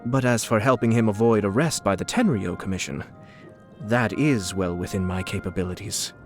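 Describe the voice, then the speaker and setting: A man plays a refined young nobleman from a video game, the shrewd and suave head of his clan. His voice sits fairly low, and he speaks in measured, courtly, polished phrases. Soft music plays behind him. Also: close to an everyday voice. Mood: composed, amused. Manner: polished, measured, formal diction. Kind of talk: scripted video game character dialogue. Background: background music.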